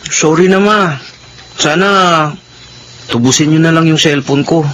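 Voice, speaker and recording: A sheepish Filipino teenage son in a radio drama. He speaks in a lightish voice, halting and apologetic, with faint noise behind. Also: on the high side, fairly bright tone, poor audio.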